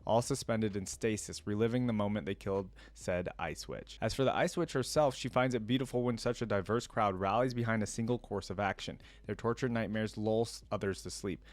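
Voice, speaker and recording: A man's voice: fairly low pitch, a little fast, few pauses, no echo. A man doing informal reaction commentary, switching between casual slangy remarks and reading story text aloud. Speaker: reaction video creator.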